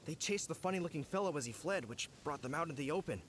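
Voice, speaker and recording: A man's voice: fairly high pitch, animated, fast talker, a bit bright, a little hiss or hum. A man voicing a fretful, dramatic delivery villager in a video game. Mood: distressed. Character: flustered, fretful male fruit-cart delivery villager. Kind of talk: video game character voice acting.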